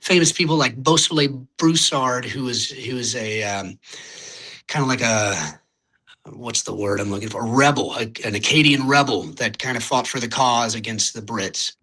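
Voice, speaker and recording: A man's voice: mid-range voice, normal speed, pushed and bright, breathy edge, rough recording. A young man from Louisiana with a Louisiana accent, a podcast guest chatting casually, rambling a little and searching for words as he talks. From Louisiana, United States. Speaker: podcast guest, singer-songwriter. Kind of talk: casual interview chat.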